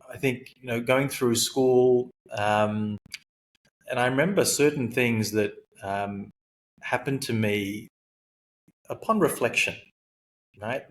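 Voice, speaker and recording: A man's voice: medium pitch, slight background noise. A man from Sri Lanka, raised in Australia, telling his life story as a guest in an interview, thoughtful and full of fillers. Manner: thoughtful, frequent fillers and self-corrections. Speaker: guest, high-performance coach.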